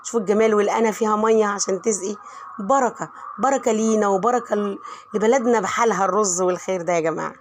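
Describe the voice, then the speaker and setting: Middle-aged Egyptian woman, home vlogger with a cold, hoarse and quick, chatty and warm toward her viewers. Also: mid-range voice, a little hiss or hum. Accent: Egyptian Arabic. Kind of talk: chatty voice-over narration of home cooking.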